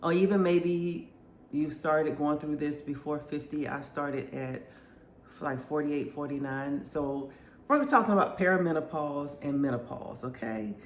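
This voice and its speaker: A woman's voice: low-pitched, unhurried. Stuffy-voiced woman in her fifties. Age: 50s. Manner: chatty, laughs often, lively storytelling. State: congested, stuffy-sounding. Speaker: vlogger. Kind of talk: casual chat to camera. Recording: echoey room, faint background noise.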